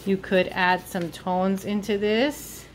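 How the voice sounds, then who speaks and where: Slow-paced woman teaching drawing. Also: mid-range voice, fairly even intonation, a little hiss or hum. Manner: informal, thinking aloud while drawing. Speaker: art teacher. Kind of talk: step-by-step drawing lesson for children. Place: indoors at a table.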